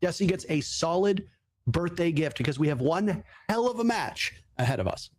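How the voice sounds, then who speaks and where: Joking, slightly high-voiced male caster. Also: moderate pace. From Canada. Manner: joking, building to excited hype. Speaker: esports caster. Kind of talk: live esports commentary.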